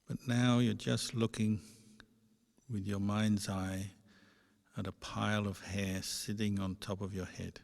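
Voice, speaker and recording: A man guides a meditation as a monk would, in a low, very even voice. He speaks slowly and gently, leaving pauses between short phrases. The sound carries a long hall reverb. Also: creaky. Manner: measured, gentle instructing with pauses.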